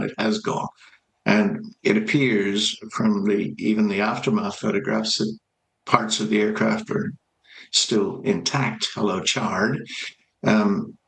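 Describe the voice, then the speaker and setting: A man giving explanatory expert commentary in a news interview, speaking in a halting, measured way. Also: lowish voice, vocal fry, a bit bright, echoey room, rough recording. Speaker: aviation expert being interviewed.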